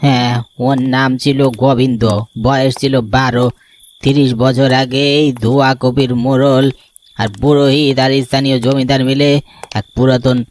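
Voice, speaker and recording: A man voicing a grave older village man in an animated ghost story, speaking solemnly and ominously. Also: medium pitch, slight background noise, close to an everyday voice.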